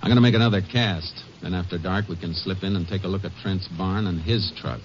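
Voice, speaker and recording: An actor playing a terse, matter-of-fact, commanding Texas Ranger in a 1950s western radio drama. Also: male voice, deep voice, fairly quick, a little hiss or hum, natural voice. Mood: intent. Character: steady, commanding Texas Ranger, shrewd investigator. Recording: dull old radio recording.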